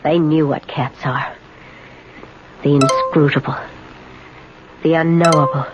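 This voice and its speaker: A woman's voice: low-pitched, flat delivery, slow pace. An actress playing a bitter, jealous, imperious woman in a 1970s radio drama, with a theatrical, commanding, brooding delivery. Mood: brooding, disgusted. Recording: dull, noisy old radio broadcast.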